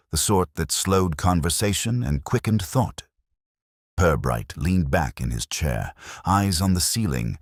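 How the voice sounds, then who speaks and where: A man giving scripted mystery narration in a steady storytelling manner, recorded close on a studio mic. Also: a bass voice, no room sound.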